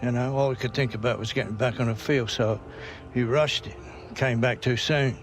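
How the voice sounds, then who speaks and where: A man's voice: mid-range voice, fairly even intonation, normal speed, natural voice. A man playing a plain-spoken, caring older relative in a video game cutscene. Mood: somber, reminiscing. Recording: game dialogue over faint music.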